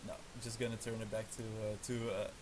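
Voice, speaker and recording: A young man with a Dutch accent chats his way through a live game stream, filling gaps with fillers and quick chuckles. His delivery stays flat and even in pitch. A constant layer of background noise sits under the voice. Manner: casual, rambling, many fillers, chuckles often. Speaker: livestreaming gamer. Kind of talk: live gameplay commentary.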